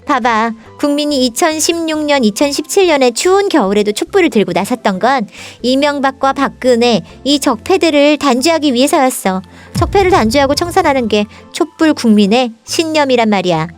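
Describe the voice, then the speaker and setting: A high, bright-spirited princess voice acted by a woman argues politics in a storybook parody. She is sharp-tongued and indignant, scolding with emphatic rhetorical questions. Faint music plays behind her. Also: animated, fairly quick, few pauses. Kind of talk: scripted political satire dialogue, storybook parody.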